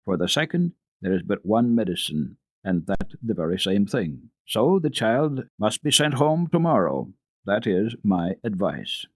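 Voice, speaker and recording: A man voicing an earnest, firm family doctor in a storybook, advising gravely and with deliberate emphasis. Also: fairly low pitch, sing-song, no room sound. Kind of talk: dramatic storybook reading.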